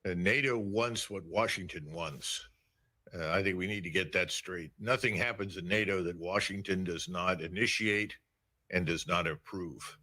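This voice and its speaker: A man answering as an interview guest, giving firm, deliberate political analysis. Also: low voice, pushed and bright.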